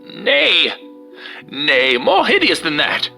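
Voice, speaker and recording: A man's voice: on the high side, wildly swooping pitch, slow pace, vocal fry, bright, forceful tone, faint music behind, voice pushed up, heavily acted. A man voicing a fearful colonial village woman in a storybook, with quavering, old-fashioned speech. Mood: aghast.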